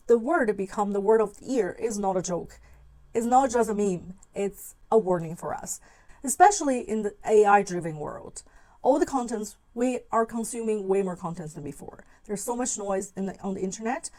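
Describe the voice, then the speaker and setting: A woman's voice: medium pitch, normal speed, a little mellow, low-quality recording. A woman who speaks English as a second language, hosting a video essay in an informal, conversational way and acting out her own thoughts. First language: not English. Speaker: video channel host. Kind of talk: talking-to-camera video essay.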